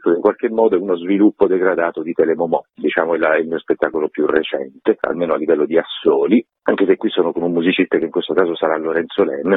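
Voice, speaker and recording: A man's voice: low-pitched, husky, no room sound. A middle-aged man, a theatre author and actor, talking in a radio interview in a reflective, wordy way with many fillers. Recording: tinny phone-like radio line.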